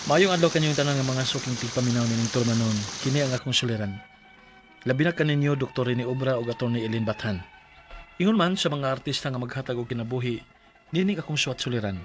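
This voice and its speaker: An earnest man in his thirties, played by a radio actor, recounts his troubles formally. His voice is medium in pitch, with music clearly playing underneath. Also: fairly bright tone, lightly acted. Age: 30s. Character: earnest working married man narrating his own troubles. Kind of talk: radio drama narration and acting.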